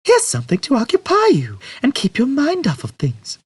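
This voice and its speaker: A man voicing a patient, firm woman aboard a spaceship in an audiobook, bright and compassionate in manner. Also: very high voice, wildly swooping pitch, normal speed, husky, no echo, voice pushed up, exaggerated voice.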